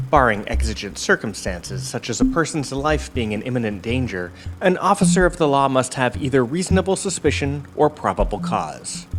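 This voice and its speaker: A man's voice: medium pitch, natural voice. A man performing scripted narration as a formal, explanatory narrator of police procedure in an audio drama. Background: music.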